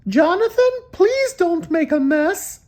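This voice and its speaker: A woman voicing an exasperated mother who yells at her young son in a children's storybook. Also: animated, takes it slowly, exaggerated voice. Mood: pleading. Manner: yelling, shrill reproach.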